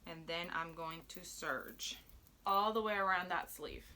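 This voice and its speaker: A woman's voice: fairly low pitch, unhurried, pushed and bright, slight background noise. A woman whose first language is Spanish, chatting upbeat through a sewing tutorial and narrating each step as she works at the machine. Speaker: sewing instructor.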